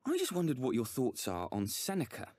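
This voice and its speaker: A man playing a polite, probing documentary interviewer with a husky voice. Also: medium pitch, huge pitch swings, a little fast, a little mellow. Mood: inquiring.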